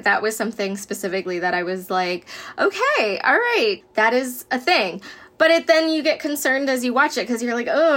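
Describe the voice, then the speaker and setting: A woman's voice: a little high, fairly bright tone. A woman interviewee talking casually and animatedly, often quoting her own reactions. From born in Korea, adopted in the US.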